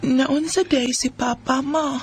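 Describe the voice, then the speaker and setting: A woman's voice: high voice, steady tone, slow, slight background noise. A woman playing a young daughter in a radio drama. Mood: subdued, worried. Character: frightened young daughter Joey, a child.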